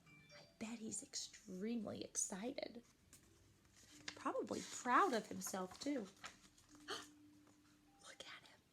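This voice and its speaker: A woman's voice: on the high side, whispered. A woman reading a picture book aloud to young children, expressively and with questions to the listeners. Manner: animated, slow and deliberate, addressing young children.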